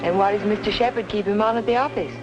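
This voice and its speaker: A woman's voice: mid-range voice, fast, muffled recording, music in the background, natural voice. An actress playing a polite, troubled young woman in an old-time radio noir drama. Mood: wistful, probing. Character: polite, troubled young woman questioning those around her.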